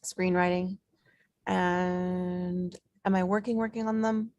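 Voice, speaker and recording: A woman's voice: fairly low pitch, fairly even intonation, slow pace, a little mellow, no room sound, clean studio sound. A woman chatting casually about herself in a rambling, self-correcting way. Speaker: screenwriter. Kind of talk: casual chat.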